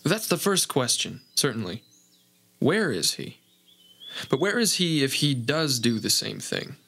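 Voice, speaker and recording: An actor playing a calm, wise older countryman who speaks gently and chuckles softly. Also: mid-range voice, unhurried, gravelly, breathy edge, full character voice. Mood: gentle. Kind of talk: radio play acting.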